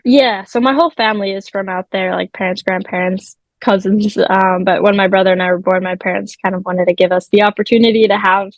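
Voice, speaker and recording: A chatty teenage woman, guesting on an interview call. Her voice has a gravelly fry at the ends of phrases. The sound is dry and close, with no room around it. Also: medium pitch, steady tone, brisk, few pauses, dry recording. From South Africa, raised in Vancouver. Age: late teens. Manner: chatty, upbeat, lots of fillers. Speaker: guest magician. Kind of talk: casual chat. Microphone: remote call.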